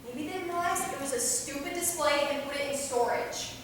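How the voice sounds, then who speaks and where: A wisecracking, cocky vampire acted by a woman in a staged reading. The voice is high and self-amused, heard far from the mic in a hugely reverberant hall with clear noise. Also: normal speed, fairly bright tone. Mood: bored, flippant. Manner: joking, slangy, self-amused. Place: theater stage, recorded from a distance.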